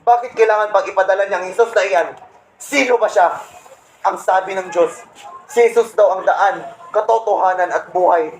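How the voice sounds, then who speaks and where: A man's voice: high-pitched, normal speed, lightly acted. A man playing a proud, resentful Satan who tells his schemes indignantly in a comedic radio play. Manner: boastful, indignant storytelling. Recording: roomy echo, some background noise.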